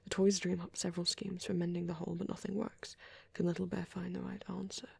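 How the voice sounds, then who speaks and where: Breathy, whispery, shy vampire voice actor's role. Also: mid-range voice, level tone, dry recording, full character voice. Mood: quietly musing. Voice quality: soft, breathy, often whispered. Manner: hesitant, halting, murmured musing. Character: shy, gentle young vampire boyfriend on a date. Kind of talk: ASMR roleplay, soft rambling.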